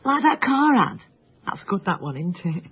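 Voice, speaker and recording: A woman playing an earthy, chatty working-class wife with a South Yorkshire accent and a husky voice in a radio drama. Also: medium pitch, sing-song, moderate pace. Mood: hopeful. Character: earthy, eager, roly-poly working-class wife and cleaner. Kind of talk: naturalistic radio drama acting. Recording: dull, muffled radio broadcast.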